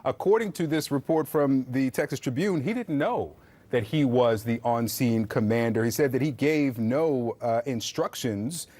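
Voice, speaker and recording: A man's voice: medium pitch, normal speed, darkish tone. A man anchoring a news segment, introducing the story and questioning a guest.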